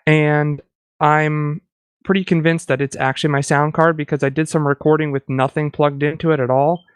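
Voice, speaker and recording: A man's voice: on the high side, level tone, moderate pace, clear, resonant tone, no echo. A man co-hosting a podcast in casual tech chat, talking in a chatty, self-deprecating way. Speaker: podcast co-host.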